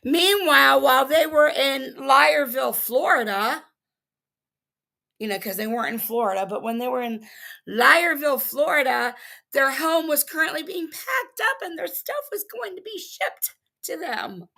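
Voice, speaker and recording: Hoarse, sarcastic woman. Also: sits high, animated, moderate pace, bright, forceful tone. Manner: sarcastic, blunt. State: hoarse, losing her voice. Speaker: reaction commentator. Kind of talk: commentary over a livestream.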